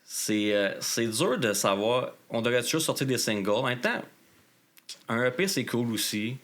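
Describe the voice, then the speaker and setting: A Québécois musician talks casually as an interview guest, tossing in quick asides. His mid-range voice has a bright, forward edge. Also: mid-range voice, animated. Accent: Québécois French. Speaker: band member, guest.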